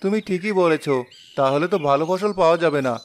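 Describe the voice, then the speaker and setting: An actor playing an honest young farmer and younger brother in a cartoon, earnest and respectful. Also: male voice, fairly high pitch, quick pace, lightly acted. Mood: worried. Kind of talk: cartoon dialogue.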